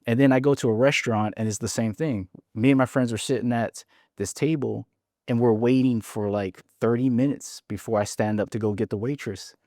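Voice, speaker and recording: A man's voice: medium pitch, fairly even intonation, a little fast, darkish tone, dry recording, clean studio sound. A man from Hawaii in his forties, a podcast guest frankly telling his story and often restarting his words. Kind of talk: casual interview storytelling.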